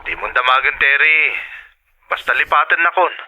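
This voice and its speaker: A man's voice: on the high side, moderate pace, pushed and bright, rough recording. A man playing a callous, evasive sweetheart in a melodramatic audio drama. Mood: cold, scornful. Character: callous, evasive male sweetheart who scorns his lover. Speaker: drama actor.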